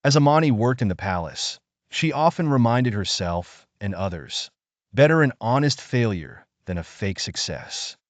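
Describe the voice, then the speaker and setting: A man narrating a scripted folktale in a measured, expressive storytelling manner with deliberate phrasing. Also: mid-range voice, no room sound.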